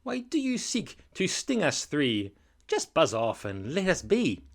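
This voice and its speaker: A man's voice: on the high side, huge pitch swings, unhurried, creaky, pitched above the performer's own voice, exaggerated voice. A man voicing a panicky male storybook hero who speaks in urgent, exclamatory rhyme. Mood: angry, defiant. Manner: urgent, exclamatory rhyming speech.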